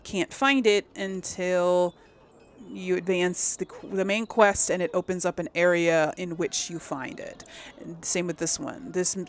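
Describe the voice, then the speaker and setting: A woman's voice: lowish voice, clear, resonant tone. A woman giving casual let's play commentary as host of a gaming video, chatty and thinking aloud as she goes.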